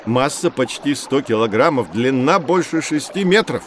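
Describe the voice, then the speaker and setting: A man's voice: medium pitch, lively intonation, slight background noise, lightly acted. A man playing a knowledgeable, patient nature guide who explains things in a children's educational audio drama. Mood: explaining. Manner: measured, didactic.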